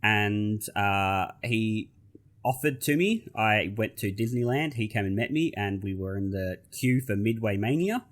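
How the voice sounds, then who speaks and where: Australian man in his 30s, nasal, low-pitched, self-deprecating podcast guest on a call. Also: expressive, unhurried. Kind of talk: casual chat. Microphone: video call.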